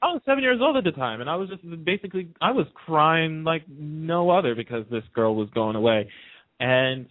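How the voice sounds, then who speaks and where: A man's voice: on the high side, expressive, fairly quick, dry recording. A man chatting casually as a guest in a radio interview, telling stories in a talkative way. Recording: narrow, tinny phone-line sound.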